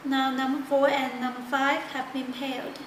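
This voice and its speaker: A woman narrating a step-by-step product tutorial in halting, simple non-native phrasing. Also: sits high, level tone. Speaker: product demonstrator. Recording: echoey room with loud background noise.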